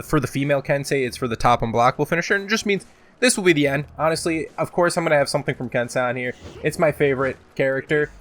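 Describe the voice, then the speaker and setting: A man's voice: medium pitch, a bit bright. Casual young-sounding man hosting a gaming countdown. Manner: profane, joking, exaggerating; belts out game voice lines. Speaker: gaming video host. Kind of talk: casual top-ten countdown commentary.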